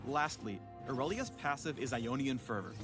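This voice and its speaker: A man's voice: mid-range voice, lively intonation, moderate pace, over music. A man reading scripted promotional tutorial narration for a video game spotlight, with playful wording.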